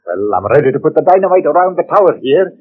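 Husky, stern zealot, old radio. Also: male voice, a little high, very animated, fast. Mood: brisk, commanding. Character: stern, zealous scripture-quoting workman, hard and unyielding. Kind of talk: 1940s radio drama acting. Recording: muffled, tinny 1940s radio recording.

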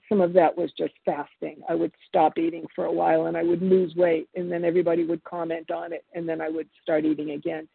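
A woman's voice: fairly low pitch, gravelly, breathy edge, no room sound. Older woman telling her story by phone. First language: Italian. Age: 70s. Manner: halting, many fillers and restarts. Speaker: meeting speaker sharing her story. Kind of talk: personal testimony at a recovery meeting. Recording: phone-line audio.

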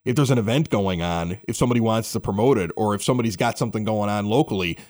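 A man's voice: fairly low pitch, quick pace, darkish tone. An American man hosting a podcast, talking in a chatty, informal way. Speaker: podcast host. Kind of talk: conversational interview and ad reads.

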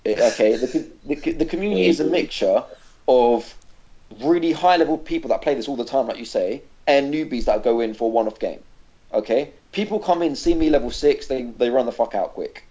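A man's voice: medium pitch, animated, fairly quick, warm tone. A man co-hosting a casual gaming podcast, ranting animatedly and swearing freely. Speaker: podcast co-host. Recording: dull lo-fi podcast audio.

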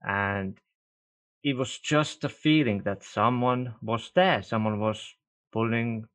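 A man whose first language is Estonian, hosting a podcast in casual interview chat, relaxed and laughing easily. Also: medium pitch, takes it slowly. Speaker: podcast host. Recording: dull lo-fi audio.

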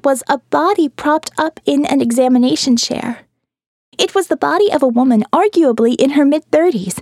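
A woman with a high voice reads dramatic first-person fiction. Her delivery is expressive and wry, and the sound is close and dry. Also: dry recording. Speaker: audiobook narrator.

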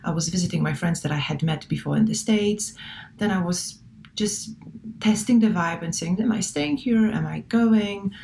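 Low-voiced woman, thoughtful guest. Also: moderate pace, vocal fry, a little mellow, slight background noise. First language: not English. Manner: thoughtful, many fillers and restarts. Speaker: guest, relocation coach. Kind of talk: casual interview chat.

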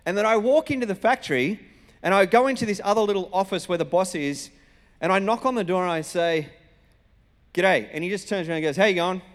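Australian man, high voice, pastor preaching, colloquial storytelling, acted-out dialogue, echoing hall. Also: fast. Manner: conversational, colloquial, acts out dialogue. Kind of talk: sermon with personal storytelling. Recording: reverberant hall sound.

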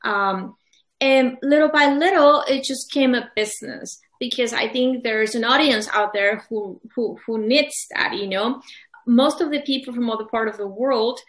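Echoey, high-voiced woman. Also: moderate pace, a bit bright. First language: Spanish. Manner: hesitant, many restarts and fillers, earnest. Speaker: guest, publisher and author. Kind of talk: casual interview answers. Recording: echoey room.

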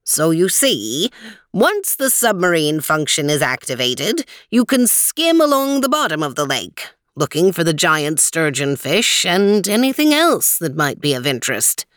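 A cheerful old male inventor explains everything at an unhurried pace, as a woman reads him. His voice sits a touch low and sounds slightly distant from the mic. He clearly loves to teach. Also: big pitch swings, fairly bright tone, dry recording, studio quality, full character voice. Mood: explaining. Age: older.

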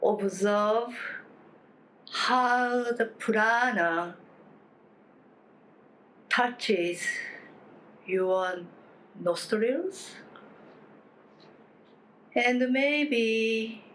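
A woman leading a guided meditation as a teacher, speaking calmly in short phrases with long pauses and gentle instruction. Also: on the high side, low-quality recording. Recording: clear steady background noise, room ambience.

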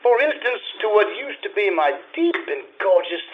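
An urbane, needling ex-husband, played by an actor in an old-time radio comedy-drama. His voice is high for a man and swings widely in pitch, with a wry theatrical lilt. The recording is a little dull. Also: very animated, normal speed. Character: sardonic, urbane society ex-husband, teasing and needling. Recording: slightly dull band-limited stage recording.

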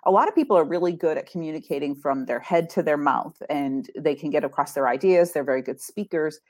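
A woman's voice: sits low, brisk, a little mellow, dry recording, studio quality. A middle-aged woman from Massachusetts, an author and ghostwriter, chatting in an upbeat way and laughing easily as an interview guest. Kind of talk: casual interview chat.

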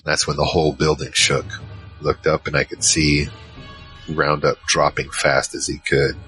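An actor playing a huge, shaken survivor recounting a monster battle in a halting first-person audio drama narration. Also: male voice, level tone. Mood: tense, shaken. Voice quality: very low, heavy voice. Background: music.

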